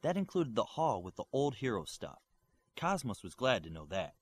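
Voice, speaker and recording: A man reading a superhero novel aloud as its narrator, with an expressive delivery. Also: mid-range voice, normal speed, breathy edge. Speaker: audiobook reader. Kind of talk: audiobook narration.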